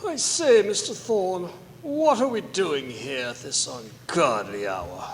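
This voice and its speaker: A man's voice: fairly high pitch, lively intonation. A man playing a pompous, portly, impatient English agent in a radio play, with an English accent. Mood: haughty, indignant. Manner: pompous, drawn-out, swooping delivery. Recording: very echoey hall.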